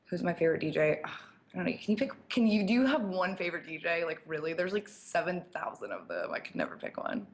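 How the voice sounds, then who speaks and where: A young woman with a slight rasp, answering fan questions in a loose, chatty way. She fills her talk with "like" and small laughs, and sits a touch lower in pitch than most women. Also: sing-song, fast. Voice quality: slightly raspy. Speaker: DJ and producer. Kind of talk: casual live-stream Q&A, reading viewer questions.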